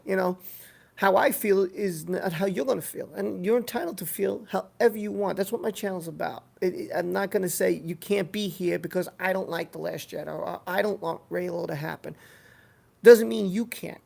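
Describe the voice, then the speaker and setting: A live stream host with a heavily creaky, gravelly voice talks off the cuff to viewers. The delivery is casual and rambling, moving between reading comments aloud and giving opinions, with phrases often repeated for emphasis. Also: medium pitch, darkish tone.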